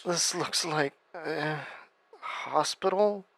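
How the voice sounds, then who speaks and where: Slow, halting young captive. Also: male voice, a little high, fairly bright tone, no echo, exaggerated voice. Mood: groggy, dazed. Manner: hesitant, halting, subdued. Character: neglected, frightened young man held captive, groggy. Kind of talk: horror audio drama acting.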